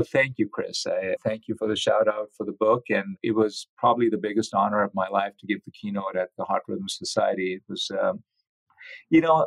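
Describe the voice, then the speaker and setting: A man's voice: on the low side, no room sound, poor audio. A man speaking as a physician guest in an interview, explaining technical research with enthusiasm.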